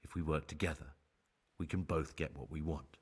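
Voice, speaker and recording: An actor playing a remorseful ex-bandit turned novice monk in a game cutscene, husky, measured and persuasive. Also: male voice, very deep voice, fairly even intonation, soft background music. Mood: persuasive, pragmatic. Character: remorseful young ex-bandit novice monk, sly pragmatic bargainer. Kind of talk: dramatic game cutscene acting.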